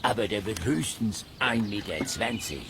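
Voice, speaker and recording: An actor playing a fat, jovial, know-it-all angler in a children's radio play, talking bluntly and colloquially. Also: male voice, on the low side, expressive, normal speed, noisy background. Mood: dismissive, sure.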